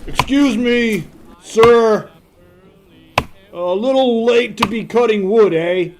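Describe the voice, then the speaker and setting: A man performs a gruff park ranger in an audio drama. He sounds authoritative, with a high-pitched voice. Noise is clearly present behind him. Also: takes it slowly. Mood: stern.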